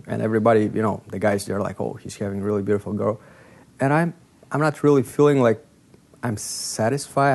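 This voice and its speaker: A man in his thirties from Bulgaria with a Bulgarian accent, a guest in a casual interview chat, halting and searching for words with many fillers. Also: fairly low pitch, creaky, darkish tone. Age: 30s. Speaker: talk show guest.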